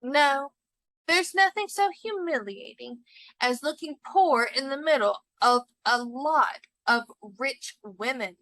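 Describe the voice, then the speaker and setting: A woman's voice: high-pitched, animated, slow, fairly bright tone, no echo, exaggerated voice, low-quality recording. A woman voicing a discontented, vain young wife in a storybook, plaintive and self-pitying. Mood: unconvinced.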